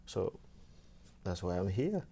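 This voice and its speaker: Man, young adult, from Kenya, seminarian, soft-spoken, many fillers, mellow tone. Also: low-pitched, very animated, takes it slowly, gravelly, husky. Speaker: seminarian guest. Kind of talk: casual interview talk.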